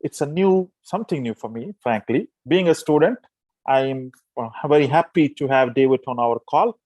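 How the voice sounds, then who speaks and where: A man hosting a tech podcast interview, halting and repeating words as he forms his questions. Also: medium pitch, darkish tone, dry recording, low-quality recording. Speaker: podcast host.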